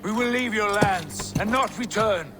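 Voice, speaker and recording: A man's voice: high voice, unhurried, music in the background. An actor playing a proud, imperious Viking leader obsessed with his destiny, with an intense, accusing manner, in a video game. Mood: commanding. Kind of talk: dramatic video game acting.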